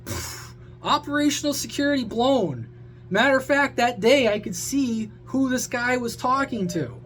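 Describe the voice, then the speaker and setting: High-pitched man ranting casually over humming noise. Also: very animated, normal speed, fairly bright tone. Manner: blunt, sweary, rambling. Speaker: amateur radio vlogger. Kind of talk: casual opinionated rant to viewers.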